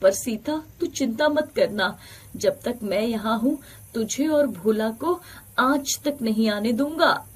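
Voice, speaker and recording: A woman voicing a kind, hopeful male farmer in an animated moral story, earnest and reassuring. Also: fairly high pitch, takes it slowly, husky. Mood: upset, protective. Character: hopeful, protective, kind village farmer man.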